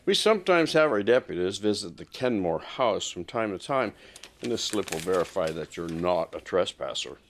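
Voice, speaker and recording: An actor playing a courteous, helpful small-town sheriff's deputy in an audio drama, friendly and obliging. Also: male voice, low-pitched, big pitch swings, normal speed, slight background noise.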